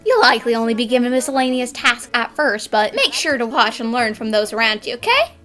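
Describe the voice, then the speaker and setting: Eager young cartoon heroine, played by a woman: high, lively, theatrical, exclaiming. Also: fairly quick, soft background music. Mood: friendly. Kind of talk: animated fan dub dialogue.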